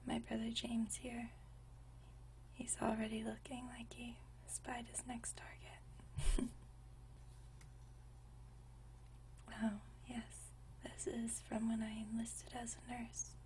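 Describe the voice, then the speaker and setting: A woman playing a gentle, nostalgic century-old vampire in a whispered ASMR roleplay, speaking softly and intimately with unhurried, genteel old-fashioned phrasing. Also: a little high, monotone, distant mic. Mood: reminiscing. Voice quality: soft breathy whisper.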